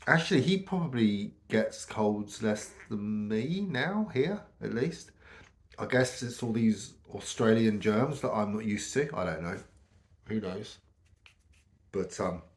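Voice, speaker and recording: A middle-aged British man talks to camera, his voice croaky from a cold and pitched a little low. He rambles casually, pausing on ums and restarting his sentences. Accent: British English. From United Kingdom. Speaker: vlogger.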